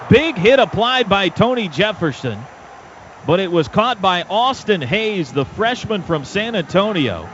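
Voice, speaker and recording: An American man calling live football play-by-play on radio in clipped, excitable bursts, recorded in lo-fi broadcast sound. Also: a little high. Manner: excitable, clipped rapid-fire calls. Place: stadium radio booth. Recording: dull lo-fi broadcast with loud stadium noise. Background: loud stadium ambience.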